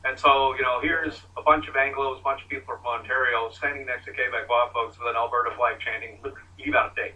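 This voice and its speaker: A man's voice: medium pitch, flat delivery, fairly quick, few pauses, sharp tone, distant mic, rough recording. A man on the panel making wry, reflective remarks.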